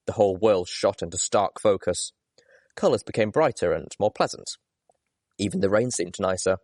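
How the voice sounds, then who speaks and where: A man reading first-person fiction aloud as an expressive audiobook narrator. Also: fairly low pitch, moderate pace, no room sound, clean studio sound. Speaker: audiobook reader.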